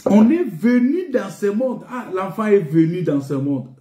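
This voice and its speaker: A man preaching a sermon in an emphatic, repetitive teaching manner, pausing on rhetorical questions. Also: high voice, expressive, warm tone. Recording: echoey, reverberant room.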